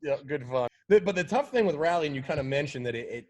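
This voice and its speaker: A man who works as a professional rally driver, talking as a guest in a relaxed way with many "like" and "you know" fillers. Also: a little high, fast talker. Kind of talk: casual interview chat.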